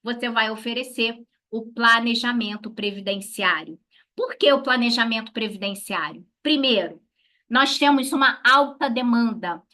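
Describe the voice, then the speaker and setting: A woman's voice: fairly high pitch, normal speed, bright, forceful tone. A Brazilian woman giving an instructional talk as a lawyer to colleagues, didactic, posing questions and answering them herself. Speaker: lawyer teaching a class.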